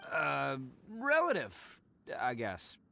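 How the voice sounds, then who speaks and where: An actor playing a brash, boastful but good-hearted young gang hero in a video game, talking in a cocky, showy, colloquial way. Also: male voice, fairly high pitch, wildly swooping pitch, slow, gravelly, sharp tone, a little hiss or hum, full character voice. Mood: awkward, evasive.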